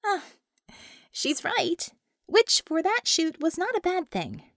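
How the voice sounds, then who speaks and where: A woman's voice: very high voice, huge pitch swings, slow, a bit bright, no room sound, studio quality, voice pushed up, full character voice. A woman voicing a confident, sly superheroine leader in an audiobook, with an assured, coaxing and emphatic delivery. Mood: frank.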